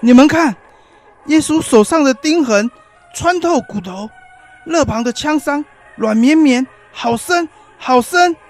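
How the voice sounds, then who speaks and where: A man's voice: very high-pitched, lively intonation, a little slow, faint music behind. An actor playing an awed, fervent male fisherman disciple in a biblical radio drama, speaking in earnest exclamations. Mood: awestruck. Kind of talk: Chinese-language biblical radio drama acting.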